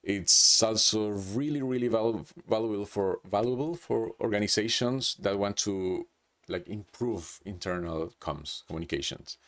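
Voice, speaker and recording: A man's voice: lowish voice, normal speed, fairly bright tone. Hesitant man with a Chilean accent. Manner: hesitant, with many restarts and "like". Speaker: presenter. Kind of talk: unscripted business presentation.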